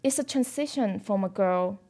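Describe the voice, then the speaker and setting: A woman's voice: mid-range voice, moderate pace. Woman, chatty bridal shop owner. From born in China, raised in Hong Kong. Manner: animated, lots of "like" fillers, gets loud when emphatic. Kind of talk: casual podcast chat.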